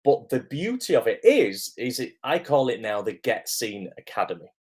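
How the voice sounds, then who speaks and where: A man with a hoarse, croaky voice from illness, hosting a casual livestream as an acting coach, greeting viewers and filling pauses with "um". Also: medium pitch, sing-song, a little mellow. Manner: chatty, lots of "um" fillers, greets viewers by name. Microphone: camera microphone.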